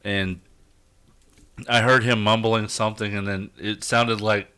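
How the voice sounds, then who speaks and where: A man co-hosting a casual podcast, telling stories in a dry, quick way. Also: on the low side, flat delivery, pushed and bright, clean tone. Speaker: podcast co-host. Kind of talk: casual chat among friends.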